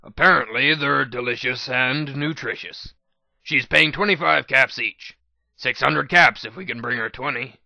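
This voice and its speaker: A man's voice: mid-range voice, a little slow, gravelly, no room sound, exaggerated voice. Man voicing a sardonic, weary male pony, slightly muffled. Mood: dry, unimpressed. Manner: sardonic, deadpan.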